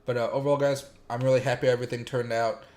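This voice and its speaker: A man hosts a casual crypto livestream, his voice slightly sore and raspy from streaming every day. He rambles through chart talk with plenty of fillers and stops to greet viewers in chat by name. His pitch sits in the middle range for a man. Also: level tone, rapid-fire, a little mellow.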